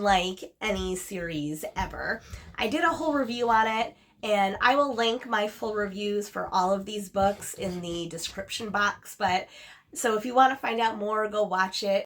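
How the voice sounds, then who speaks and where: A woman reviewing books to camera, chatty and enthusiastic, a little sniffly from a cold she is getting over. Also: mid-range voice. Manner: chatty and enthusiastic, repeats "really". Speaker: book reviewer. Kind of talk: casual chat to camera.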